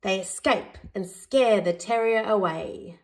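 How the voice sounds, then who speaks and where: Unhurried woman reading a children's story. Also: medium pitch. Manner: deliberate, expressive storytelling. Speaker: educator reading picture books aloud. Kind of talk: scripted children's story narration.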